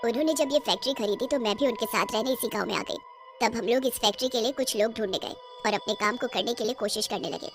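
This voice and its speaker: A high-pitched, vengeful cartoon witch, played by a woman, gloats and taunts in a horror tale. Her threats are drawn out and menacing, with soft music behind them. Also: quick pace, natural voice. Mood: bitter, vengeful. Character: vengeful, gloating female witch who runs a factory. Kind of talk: Hindi cartoon horror story acting.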